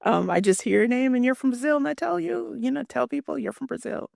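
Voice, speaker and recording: A woman hosting an interview, friendly and quick to laugh. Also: high-pitched, vocal fry, darkish tone, no room sound, clean studio sound. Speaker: interview host. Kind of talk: interview conversation.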